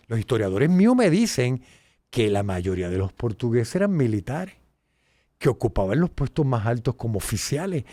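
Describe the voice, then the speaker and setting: Puerto Rican male host, animated and emphatic, lively pitch, dry studio sound. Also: no echo. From Puerto Rico. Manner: animated, emphatic, swings between low asides and loud exclaims. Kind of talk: casual educational talk.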